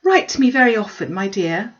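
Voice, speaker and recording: Woman acting a high, swooping, fussy middle-aged mother. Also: big pitch swings, a little fast, dull audio, natural voice. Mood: plaintive. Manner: gushing and fretful. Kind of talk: classic novel read-aloud.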